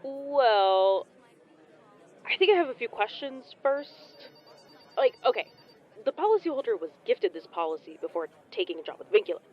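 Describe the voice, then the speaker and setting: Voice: medium pitch, big pitch swings, normal speed, lo-fi sound. A young nonbinary team spokesperson in an audio drama. The voice sits between male and female range, casual, chatty and wry, with a slightly dulled recording.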